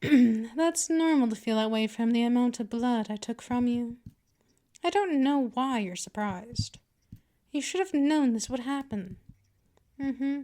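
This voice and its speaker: Woman playing a slow, high-voiced vampire mistress. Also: mellow. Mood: mocking, possessive. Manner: slow, coaxing, condescending, drifting into whispers. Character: dominant seductive female vampire mistress, patient, teasing, possessive. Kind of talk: scripted ASMR roleplay, intimate address to listener.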